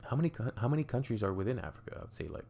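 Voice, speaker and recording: A man's voice: medium pitch, lively intonation, very fast, mellow, slight background noise. A young adult man from Canada hosting a reaction video, chatting in a wry, think-aloud way. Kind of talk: casual reaction commentary.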